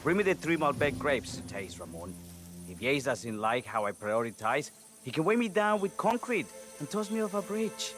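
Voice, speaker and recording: A fussy, middle-aged winemaker with a medium-pitched voice. He is a pompous, exacting video game character, easily irritated. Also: sing-song, normal speed, fairly bright tone, soft background music.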